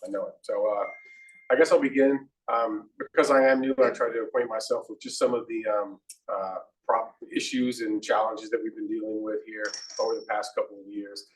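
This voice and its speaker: A man's voice: lowish voice, steady tone, moderate pace. Hesitant man giving a police briefing, distant. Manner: hesitant, with many ums and restarts. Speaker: police lieutenant. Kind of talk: briefing on crime statistics. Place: community meeting room.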